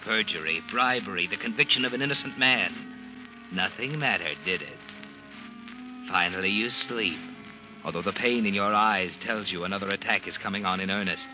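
An actor playing an ominous, knowing narrator, telling a suspense story in the second person with an insinuating manner, as in old-time radio. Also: male voice, on the low side, normal speed, close to an everyday voice. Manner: insinuating, dramatic. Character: ominous, knowing male narrator taunting the guilty protagonist. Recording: muffled vintage radio recording with music. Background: dramatic music.

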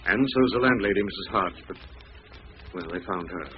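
An actor playing a brooding, deliberate amateur detective piecing clues together in an old-time radio drama. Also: male voice, deep voice, natural voice. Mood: grave deduction. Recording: thin, phone-like, noisy vintage radio recording.

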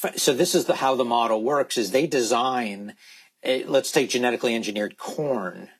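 A man speaking as a guest expert in a candid interview, halting and repeating words as he insistently makes his points. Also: medium pitch, breathy edge. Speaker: guest expert on organic food.

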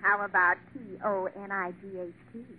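A woman's voice: medium pitch, little pitch movement, moderate pace, close to an everyday voice. A woman playing a flirty, cutting, haughty character in a 1940s radio comedy. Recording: tinny old radio with faint noise.

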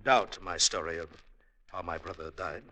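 A fairly low but hard-edged voice plays a scheming farmer of an old radio drama. He picks out his phrases haltingly and carefully. His humility and grief are a guileful show. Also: bright, forceful tone. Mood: cunning deference. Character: guileful Indian farmer, a murderer feigning humble grief. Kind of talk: classic radio drama acting.

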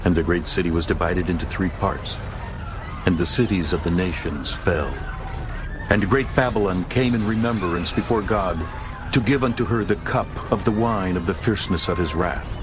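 A man's voice: low-pitched, moderate pace. A man narrating a dramatized scripture audiobook in a solemn, formal manner.

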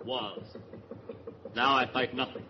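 An actor playing a guarded, stoic former RAF navigator in a 1940s radio drama, speaking tersely and dryly. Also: male voice, medium pitch, steady tone, slow, close to an everyday voice. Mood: wary, stoic. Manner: terse, dry, controlled. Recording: muffled, hissy, noisy 1949 radio broadcast.

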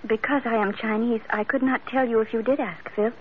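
An actress playing an earnest, composed young Chinese bride in an old radio drama, speaking formally and carefully. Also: female voice, on the high side, steady tone, fairly quick, slight background noise, natural voice. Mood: pleading, earnest. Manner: formal, careful phrasing without contractions. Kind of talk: 1940s-50s radio drama acting. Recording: tinny, narrow-band old radio recording.

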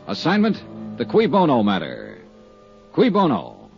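A dull vintage radio recording of a hard-boiled insurance investigator. His delivery swings widely in pitch, clipped, wry and persistent, in classic 1950s detective style. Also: huge pitch swings, a little slow, gravelly, soft background music, full character voice. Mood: brisk, wry narration.

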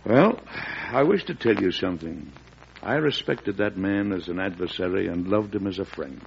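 An actor playing a weary, compassionate early president in a radio drama, speaking gravely and deliberately. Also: male voice, low voice, animated. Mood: solemn, sorrowful. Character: weary, measured, compassionate male president of the early United States. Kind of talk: old-time American radio drama acting. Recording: dull, noisy vintage radio recording.

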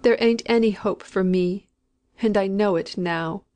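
A woman doing a dying old country woman in a storybook, slow and drawn-out, talking in a rough rustic way. she sounds sinful and scared of the dark, and she gives orders like she is used to being obeyed. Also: mid-range voice, lightly acted. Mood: sullen, imperious. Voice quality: rustic dialect, anguished pleading.

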